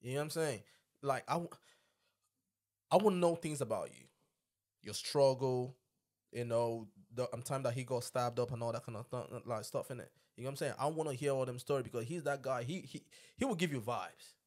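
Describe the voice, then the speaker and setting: A man's voice: medium pitch, fairly quick, darkish tone. A man with a London accent in casual, slangy podcast chat, repeating phrases for emphasis into a studio microphone. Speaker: podcast host. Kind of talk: casual chat about music. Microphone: boom-arm studio microphone.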